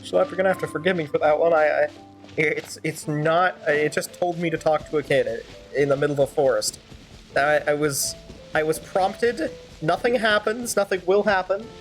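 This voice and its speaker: A woman streaming a game, chatting casually and wryly in halting, improvised commentary. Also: low voice. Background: game music.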